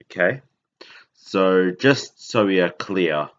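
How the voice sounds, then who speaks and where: A man from Indonesia hosting a podcast, talking in a halting, rambling way and often correcting himself. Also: sits low, expressive. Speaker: podcast host. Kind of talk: casual monologue. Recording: dull lo-fi recording.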